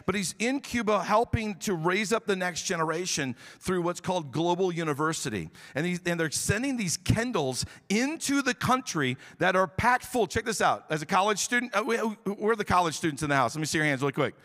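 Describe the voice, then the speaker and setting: A man's voice: high voice, animated, a little fast, few pauses, a bit bright, long reverb tail. A man speaking as pastor from the pulpit, enthusiastic and rallying the congregation, in a large church auditorium.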